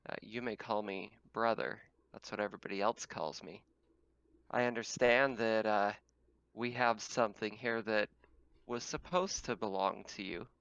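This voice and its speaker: Man playing a smooth, formal villain. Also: fairly low pitch, no room sound, dull audio. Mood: smugly polite. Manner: measured, formal, calmly menacing. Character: smooth, smugly polite male villain taunting by video call.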